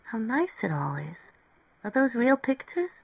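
A man reading a story, voicing an eager, naive young woman who is excitable and full of curiosity. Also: sits high, moderate pace, dry recording, phone-quality sound, pitched above the performer's own voice. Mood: awed. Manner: excitable, inquisitive.

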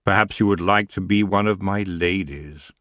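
A man voicing a lazy, seductive male elf king who drawls his words slowly. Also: low voice, a bit bright, no echo, clean studio sound. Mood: languid. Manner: slow, languid, drawling.

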